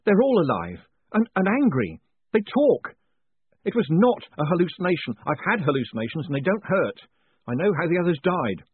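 A man's voice: mid-range voice, sing-song, normal speed, husky, no room sound. A man voicing a shaken, protesting con-man in a fantasy audiobook, urgent, faltering and exasperated. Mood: gasping alarm. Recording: muffled phone-like audio.

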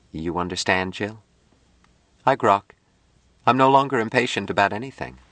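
A motherly, devout middle-aged lady from an audiobook, played by a man, low for a woman and softly spoken. She is earnest and folksy, with a solemn kindness in every phrase. The sound is dry and close. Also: no echo.

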